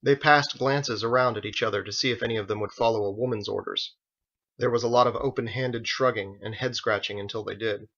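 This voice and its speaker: A man's voice: fairly low pitch, fairly even intonation, a little fast, fairly bright tone. A man reading his own fiction aloud as a scripted audiobook narration. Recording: dull lo-fi recording.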